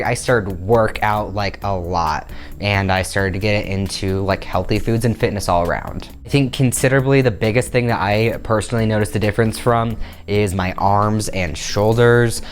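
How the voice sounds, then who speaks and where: A young man in his twenties talking candidly to camera in a casual vlog, recorded on a lapel mic in a small bedroom. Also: on the low side. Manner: upbeat and candid, animated hand gestures. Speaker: vlogger. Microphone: clip-on lapel mic.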